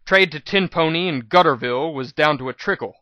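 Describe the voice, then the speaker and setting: A man voicing a shrewd, cheerful female pony shop manager in a dramatic audiobook reading, bright and businesslike. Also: fairly high pitch, brisk, dry recording, clean studio sound. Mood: cool, matter-of-fact.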